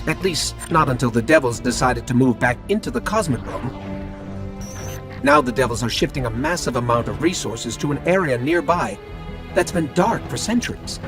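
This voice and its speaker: An actor playing a keen, wry male scout in a video game, giving briefings in a brisk, conversational way. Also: medium pitch, animated, close to an everyday voice. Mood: intrigued, briefing. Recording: music behind the voice.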